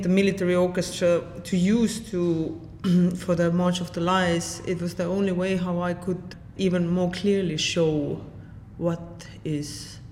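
A woman in her forties from Estonia with an Estonian accent, answering interview questions as a saxophonist in a reflective, halting way with many fillers. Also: lowish voice, darkish tone. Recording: long hall reverb, faint noise.

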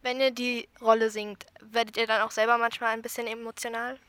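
A woman hosting a podcast interview, asking clear, scripted questions. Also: on the high side, flat delivery, brisk, a bit bright.

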